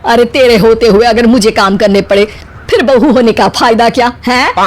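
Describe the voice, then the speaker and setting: Woman acting a high-voiced, scolding mother-in-law. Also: normal speed, lightly acted. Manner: scolding, sarcastic, exclamatory. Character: bossy, easily frightened middle-aged mother-in-law, sharp-tongued. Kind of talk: Hindi cartoon comedy story acting.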